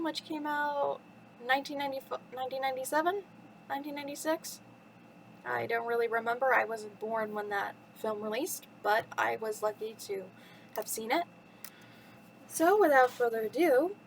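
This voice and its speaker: Storytime host: woman, twenties, very high voice, cheerful and addressing kids, slight noise behind her. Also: poor audio. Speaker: host reading bedtime stories to children. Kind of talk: cheerful storytime talk and expressive story reading.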